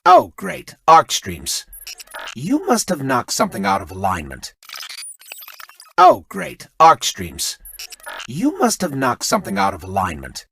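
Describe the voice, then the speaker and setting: An actor playing an eager, nerdy little robot companion in a video game, chatty, fussy and expressive. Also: male voice, mid-range voice, a little slow, vocal fry, long pauses, soft background music, heavily acted. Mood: exasperated.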